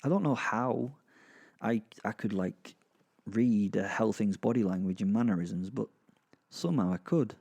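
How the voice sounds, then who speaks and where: A man playing a gleeful, foul-mouthed werewolf who narrates a dark comic horror audio drama in a rambling, conversational, sardonic way. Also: fairly low pitch, a little slow, creaky, breathy edge, exaggerated voice. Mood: wry, bemused. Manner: rambling, sardonic, sweary asides with sighs and chuckles. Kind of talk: first-person storytelling, conversational dark comedy.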